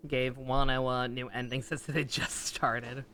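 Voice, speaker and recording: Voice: mid-range voice, flat delivery, a little slow, fairly bright tone, slight background noise. A streamer giving casual, sarcastic commentary during a game livestream. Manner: sarcastic, wry.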